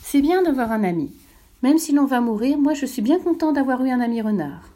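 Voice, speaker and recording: Brief: woman playing a wistful little boy prince, raised bright childlike pitch, mellow tone. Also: lively intonation, a little fast. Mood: earnest. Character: gentle earnest small boy prince, wistful.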